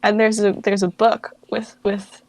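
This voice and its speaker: A woman's voice: medium pitch, little pitch movement, a little slow, vocal fry, a little mellow, no room sound, rough recording. A young woman in her late teens chatting casually and informally as a guest in an interview. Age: late teens, young adult. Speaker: interview guest, fan project founder.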